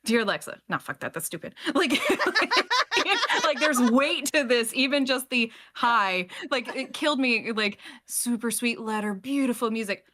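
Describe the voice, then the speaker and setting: Female podcast host, bright tone, casual and gushing, prone to acted asides. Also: on the high side, expressive, unhurried. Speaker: host of a fiction podcast discussion. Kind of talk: casual panel chat.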